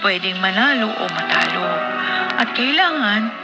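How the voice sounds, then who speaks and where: An actress playing a humble, patient young housemaid in a radio drama, speaking in a deferential, sincere manner. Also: female voice, mid-range voice, very animated, measured pace. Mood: earnest, reassuring. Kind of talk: Philippine radio drama acting. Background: music.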